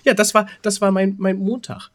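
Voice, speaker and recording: A man's voice: high voice, little pitch movement, normal speed, no room sound, studio quality. A man co-hosting a podcast, chatting casually in rambling anecdotes and often hesitating as he searches for words. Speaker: podcast co-host.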